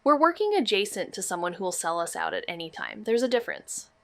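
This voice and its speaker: An actress playing a sharp, wry young woman detective in a noir audio drama, with a dry, clipped, probing manner. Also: medium pitch, big pitch swings, fast talker, creaky, no echo. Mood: dry, resolved. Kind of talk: neo-noir audio drama dialogue and narration.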